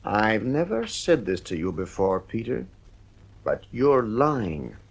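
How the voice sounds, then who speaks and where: A man's voice: fairly low pitch, very animated, slow, gravelly, husky, exaggerated voice. An actor playing a stern, nervy father with a British accent and clipped, blustering speech in a 1950s radio drama. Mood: stern anger.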